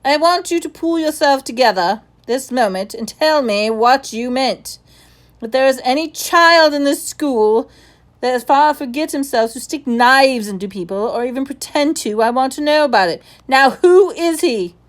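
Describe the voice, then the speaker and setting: A woman's voice: high-pitched, sing-song, normal speed, few pauses, pitched above the performer's own voice. A woman voicing a stern middle-aged male school headmaster who speaks in a commanding, clipped way.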